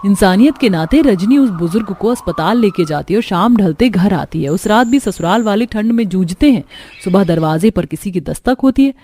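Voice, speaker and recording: A woman's voice: medium pitch, lively intonation, normal speed, warm tone. A woman reading scripted storytelling narration for a cartoon.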